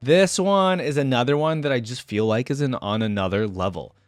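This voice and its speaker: A man's voice: mid-range voice, moderate pace, no room sound, clean studio sound, faint music behind. A man giving casual, informal reaction commentary on game character skins, thinking aloud as he goes. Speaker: reaction video host.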